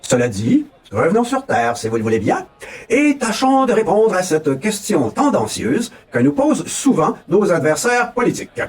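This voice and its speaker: A Québécois man delivers a tongue-in-cheek campaign address in a fairly high voice that swoops up and down a lot. He sounds folksy and mock-solemn, and he keeps tossing in a rising "ok, là?" while speaking from some distance off the mic. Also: sing-song, normal speed. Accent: Québécois French. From Quebec. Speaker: satirical mock candidate. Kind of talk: comic political address.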